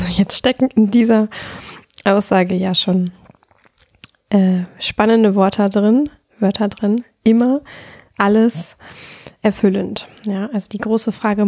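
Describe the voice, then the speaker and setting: A woman with a cold talks softly and thoughtfully in a mellow, dark voice, a little nasal and breathy. She speaks in a calm, unhurried way as she hosts her own podcast. The sound is dry and close. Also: medium pitch, no room sound. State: has a cold, slightly nasal. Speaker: podcast host and sexual life coach. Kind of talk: solo podcast talk.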